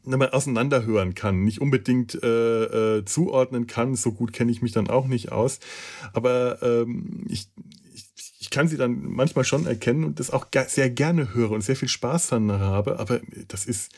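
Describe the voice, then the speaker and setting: A German man talks through his opinions in a casual podcast review. He stumbles, restarts words and fills pauses with hesitations. His voice sits at an ordinary middle pitch for a man. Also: darkish tone. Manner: halting, many restarts and fillers. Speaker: podcast host.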